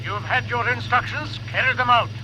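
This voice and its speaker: An actor playing a domineering villain spaceship commander in 1950s radio drama, curt and imperious over an intercom. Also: male voice, very high voice, a little fast. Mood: cold, commanding. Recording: noisy old radio broadcast with loud hum.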